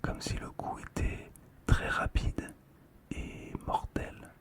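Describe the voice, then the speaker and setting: An ASMR creator whispering softly and unhurriedly, with pauses, close to a stereo microphone. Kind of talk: ASMR whispering, describing a collectible card.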